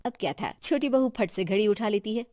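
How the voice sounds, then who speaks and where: A woman's voice: mid-range voice, fairly quick, a little mellow, dry recording, clean studio sound. A woman giving scripted narration for a family story.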